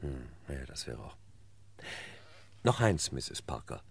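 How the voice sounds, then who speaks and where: An actor playing a courteous, probing investigator in a radio crime play, speaking politely with thoughtful hesitations. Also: male voice, extremely low pitch, very animated, slow pace, creaky, slightly rough, dry recording, heavily acted. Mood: polite, pressing. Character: courteous, probing male investigator questioning a widow.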